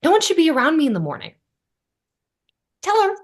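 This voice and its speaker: A woman's voice: high voice, wildly swooping pitch, a little fast, heavily acted. A woman acting a bossy, caring, wry young woman in a sitcom table read. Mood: exasperated.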